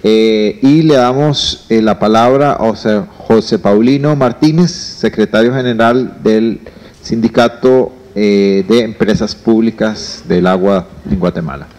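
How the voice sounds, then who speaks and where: A man's voice: on the low side, measured pace, darkish tone, in a large hall. A man moderating a forum, introducing speakers formally.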